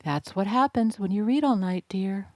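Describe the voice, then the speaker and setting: A woman's voice: medium pitch, moderate pace, dry recording, clean studio sound, lightly acted. A woman voicing a patient but firm mother pony in an audio drama. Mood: gently firm.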